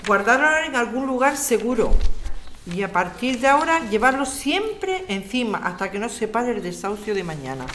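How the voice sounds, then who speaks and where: A woman playing a serious activist giving measured instructions to neighbours in an audio drama. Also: on the high side, very animated, fairly bright tone. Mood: serious, instructive. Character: serious activist briefing neighbours on legal precautions. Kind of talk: scripted amateur radio drama. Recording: faint ambient background noise. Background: faint ambience.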